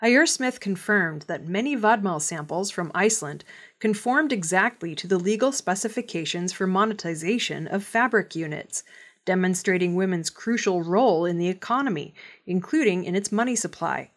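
A woman reading scripted news in a measured, formal delivery. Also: fairly low pitch, animated. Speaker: news reader.

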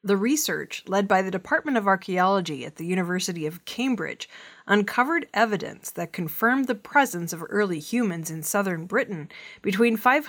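A woman reading scripted news stories as a measured narration for listeners. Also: mid-range voice. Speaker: news reader.

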